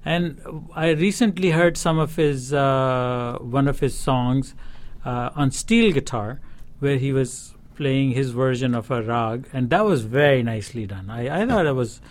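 A man with a Pakistani accent answering interview questions as a studio guest, explaining things in a halting, thoughtful way. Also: mid-range voice. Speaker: studio guest, sitar player. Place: radio studio. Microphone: studio broadcast microphone.

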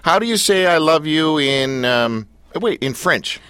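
A man's voice: fairly high pitch, animated, unhurried, clear, resonant tone, dry recording. A man hosting a radio show in a studio, joking and teasing his guest in a playful, loose manner. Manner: playful, teasing, wide swings in loudness. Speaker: radio host. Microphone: studio mic.

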